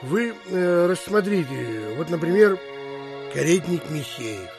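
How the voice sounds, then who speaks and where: An actor playing a bullish, stubborn provincial landowner in an audio drama, haggling and boasting emphatically. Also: male voice, on the high side, big pitch swings, over music, exaggerated voice. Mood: proud boasting. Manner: emphatic, blustering, drawn-out boasting. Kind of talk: theatrical audio drama acting in Russian classic.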